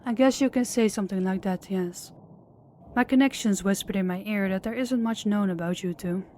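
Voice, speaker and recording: A woman's voice: mid-range voice, moderate pace, darkish tone, a little hiss or hum, lightly acted. A woman playing a sadistic female crime boss in an audio roleplay, speaking in a formal, controlled and menacing way. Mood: cool, businesslike.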